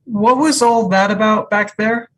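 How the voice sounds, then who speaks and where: A woman acting an easygoing, frank, teasing co-op employee in a comedy table read, with a deadpan, measured delivery. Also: medium pitch. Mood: puzzled. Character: easygoing, frank, teasing new co-op hire Noah.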